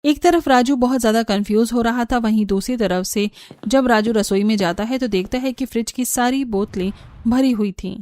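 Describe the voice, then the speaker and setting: A woman's voice: on the high side, normal speed, darkish tone. A woman reading scripted narration for a cartoon story.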